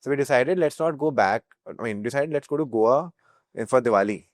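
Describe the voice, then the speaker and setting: A man's voice: mid-range voice, brisk, no room sound, studio quality. A man with an Indian accent, a startup founder telling a story casually in an interview, repeating phrases and checking in with "right?".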